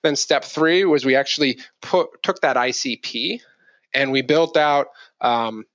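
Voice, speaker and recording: A man's voice: mid-range voice, measured pace, a bit bright, no room sound, lo-fi sound. A man explaining business informally as a podcast guest, thinking aloud and correcting himself as he goes. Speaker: podcast guest, marketing executive.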